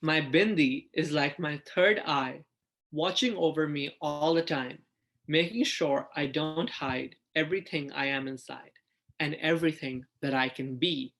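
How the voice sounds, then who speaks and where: A man's voice: a little high, a little slow, fairly bright tone. A man who is a drag artist, sharing stories in an enthusiastic, informal way. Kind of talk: picture-book reading and casual sharing.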